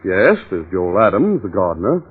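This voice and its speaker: An actor playing a composed, protective family doctor in 1940s radio drama, speaking formally. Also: male voice, sits low, sing-song, normal speed, breathy edge, exaggerated voice. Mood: composed, solicitous. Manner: formal, explanatory. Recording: noisy, muffled old radio recording.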